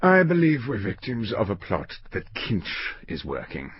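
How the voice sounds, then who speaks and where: A man's voice: lowish voice, wildly swooping pitch, unhurried, highs cut off. A man voicing an old senior banker, shrewd and resolute, with a clipped, weighty, suspicious manner in an audiobook story. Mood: grim, suspicious.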